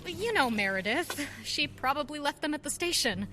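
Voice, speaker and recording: A woman's voice: high voice, animated, moderate pace, pushed and bright, slight background noise. A woman playing a breezy, evasive nurse bluffing under pressure in a radio drama.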